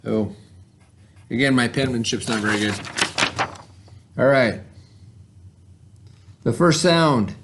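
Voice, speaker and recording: A man's voice: mid-range voice, animated, takes it slowly, long pauses, background noise. A man teaching a remote reading lesson to children in an informal, instructional way, carefully sounding out letters and words. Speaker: elementary school teacher.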